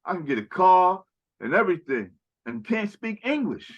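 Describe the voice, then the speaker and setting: A man's voice: high voice, big pitch swings, normal speed, muffled recording. A man speaking in a casual conversational chat as a podcast guest, rambling and often tagging "right?" and "you know".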